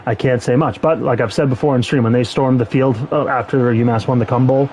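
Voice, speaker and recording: A young man streaming a football video game, commenting excitedly and talking to the players on screen. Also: mid-range voice, brisk, slight background noise, low-quality recording. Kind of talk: live gameplay commentary.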